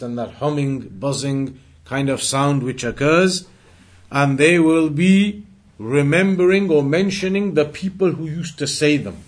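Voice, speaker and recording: A man giving an Islamic lesson as a religious teacher, explaining emphatically and repeating and rephrasing his points. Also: a little high. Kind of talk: Islamic lesson with recited Arabic quotations and English explanation.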